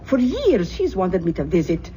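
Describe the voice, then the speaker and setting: Mellow-voiced, fussing old Scottish aunt. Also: female voice, mid-range voice, wildly swooping pitch, normal speed. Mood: soothing, brisk. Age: older. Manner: affectionate, chatty, coaxing. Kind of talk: 1970s radio drama acting. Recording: noisy old radio broadcast recording.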